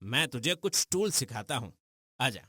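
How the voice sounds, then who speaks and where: An actor playing a brash, upbeat young friend in a cartoon story, colloquial and teasing. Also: male voice, medium pitch, very animated, measured pace, bright, forceful tone, slightly rough, no echo, studio quality, heavily acted. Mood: persuasive. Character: brash, upbeat young buddy giving advice.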